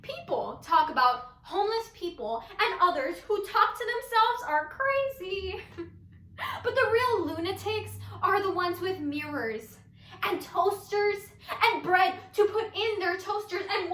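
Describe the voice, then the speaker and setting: A woman's voice: very high voice, animated, measured pace, bright, forceful tone, pitched above the performer's own voice, heavily acted. A young woman performing a dramatic monologue as a giggling, unstable, bitter teenage storyteller, theatrical and swinging in intensity. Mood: manic, giggling. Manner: theatrical, breaking into unhinged laughter, swinging intensity. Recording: echoey room.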